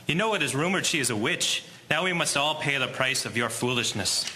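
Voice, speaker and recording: A man's voice: medium pitch, expressive, fairly quick, sharp tone, poor audio. A man playing a scolding, superstitious farmer and husband in a historical drama.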